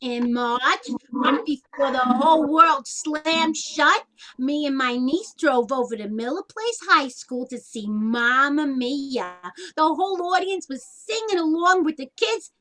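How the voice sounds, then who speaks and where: Actress as older single aunt in stage comedy; sharp bright tone, unhurried delivery, blunt and colloquial. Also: female voice, high voice, animated, slight background noise, full character voice. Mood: chatty. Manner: wry, plain-spoken, colloquial nonstandard grammar. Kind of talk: one-act stage comedy acting.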